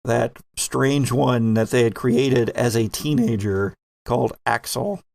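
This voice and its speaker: A man narrating a sci-fi horror tabletop game as host and game master, with measured, dramatic storytelling. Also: mid-range voice, vocal fry, darkish tone, no room sound.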